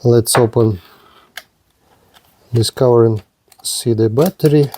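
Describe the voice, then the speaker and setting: A man giving an unscripted hands-on product demonstration as a reviewer, speaking haltingly in short, broken-up phrases. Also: mid-range voice, mellow. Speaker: gadget reviewer presenting a radio.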